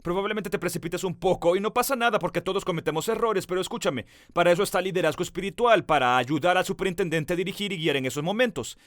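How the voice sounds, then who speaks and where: A pastor preaching with earnest conviction, his voice sitting somewhat high for a man. He speaks right to his listeners and stretches out the words he wants to land. The sound is close and dry, with almost no room around it. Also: dry recording. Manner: earnest preaching, slows down on key words for emphasis; addresses the listener directly. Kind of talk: sermon.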